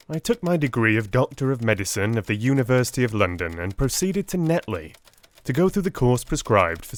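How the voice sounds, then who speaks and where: A man playing a weary Victorian army surgeon recounting his memoirs in a measured, formal, theatrical audiobook reading. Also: mid-range voice, close to an everyday voice. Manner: measured, formal, literary delivery. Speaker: audiobook narrator.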